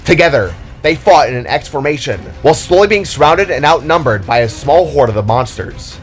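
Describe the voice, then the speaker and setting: A man's voice: on the high side, expressive, a little fast. A man reading scripted fantasy narration in a dramatic storytelling style. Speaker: author and narrator. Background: music.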